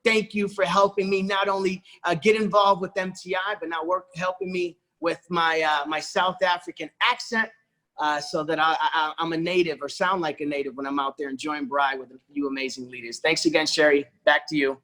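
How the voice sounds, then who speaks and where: Give me a persuasive man with a slightly high voice, presenting on a video call. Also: steady tone, normal speed, bright, forceful tone. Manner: persuasive, repeats "folks" and "okay". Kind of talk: sales pitch on a video call.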